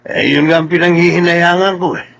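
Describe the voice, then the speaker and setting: A man's voice: a little high, steady tone, brisk, creaky, a bit bright. A man with a Filipino accent playing a troubled, irritable trucking boss in a radio drama. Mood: worried, grumbling. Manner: complaining, exasperated. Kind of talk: Tagalog radio drama acting.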